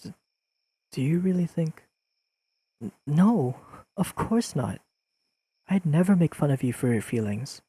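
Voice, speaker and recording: A man playing an earnest, awkwardly analytical young best friend in an intimate audio roleplay, speaking haltingly and carefully to the listener. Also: medium pitch, breathy edge, rough recording. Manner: halting, careful, softly pleading, slightly formal wording.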